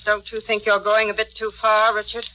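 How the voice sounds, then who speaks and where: Casting: actress as domineering invalid wife, middle-aged, very bright and forceful, accusing, vintage radio sound with slight hiss. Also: female voice, mid-range voice, completely flat delivery, moderate pace, highs cut off, natural voice. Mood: imperious. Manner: imperious, accusing, clipped. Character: jealous, domineering bedridden older wife. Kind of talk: 1940s radio drama acting. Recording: vintage radio recording with faint noise.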